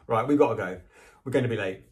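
A man's voice: mid-range voice, fast, lightly acted. A man voicing a hot-tempered, blunt young schoolboy who scolds impatiently in a storybook reading.

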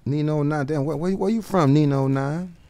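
Fast-talking, teasing young man host. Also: fairly high pitch, smooth. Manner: loud, teasing, repeats words. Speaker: podcast host. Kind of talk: casual interview chat. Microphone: desk mic on boom arm.

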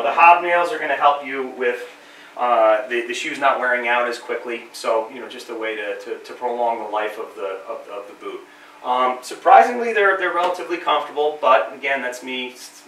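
A bright-toned middle-aged man, a little nasal from a cold, talks a small group through old gear in a friendly, halting way full of fillers. He is picked up by a camera across a small, echoey library room. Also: mid-range voice, slight background noise. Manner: friendly, many fillers and repeated words. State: has a cold, slightly nasal. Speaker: living history presenter. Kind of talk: informal history talk to a small audience. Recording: roomy, distant camera sound.

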